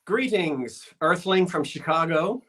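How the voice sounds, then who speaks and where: A man's voice: fairly high pitch, lively intonation, slow pace, a bit bright. A man hosting a casual live-stream sale over a video call, folksy and homespun in how he talks.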